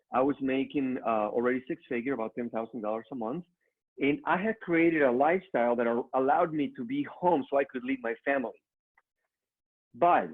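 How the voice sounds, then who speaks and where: A man's voice: mid-range voice, slightly rough. A middle-aged man with a Mexican accent giving an upbeat motivational business presentation to an online audience, addressing them directly. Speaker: online training speaker. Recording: narrow phone-like online call audio.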